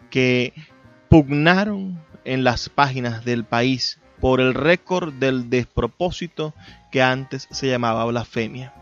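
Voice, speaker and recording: A man's voice: medium pitch, highs cut off. A man hosting a radio programme, partly reading a prepared text aloud and addressing listeners. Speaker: radio host. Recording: band-limited radio sound with music behind. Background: music.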